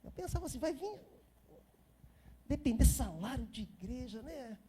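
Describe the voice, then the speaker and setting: A man's voice: very high voice, expressive, moderate pace, warm tone, slight background noise. A Brazilian man in his fifties preaching an informal sermon as a pastor, repeating phrases for emphasis and chatting to the congregation. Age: 50s.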